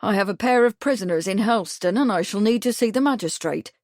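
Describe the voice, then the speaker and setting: A woman voicing a calm, resigned male village constable who speaks steadily and firmly. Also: mid-range voice, fairly quick, no room sound, studio quality, close to an everyday voice. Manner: steady, firm.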